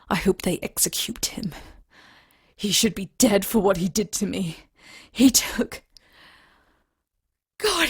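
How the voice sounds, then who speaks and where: A woman playing an emotional, traumatized young woman in an audio drama, with a husky voice and halting delivery. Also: fairly high pitch, no echo, clean studio sound, heavily acted. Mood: anguished. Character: traumatized young woman learning her lost family history.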